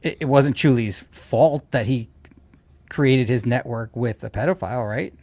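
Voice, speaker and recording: A man's voice: medium pitch, a little slow, a little mellow, no room sound. Opinionated man chatting, dry close sound. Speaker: co-host or regular guest on a livestream. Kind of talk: casual chat.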